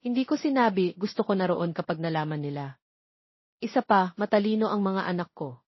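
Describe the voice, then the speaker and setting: Woman voicing a guarded, gentle young mother. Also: mid-range voice, lively intonation, a little fast, no echo, dull audio, natural voice. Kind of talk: romance audio story dialogue.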